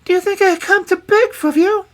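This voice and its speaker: A woman's voice: very high-pitched, a little fast, fairly bright tone, voice pushed up. A woman voicing a meek, sorrowful young princess in a storybook, pleading politely and plaintively. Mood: distressed. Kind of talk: storybook character voice.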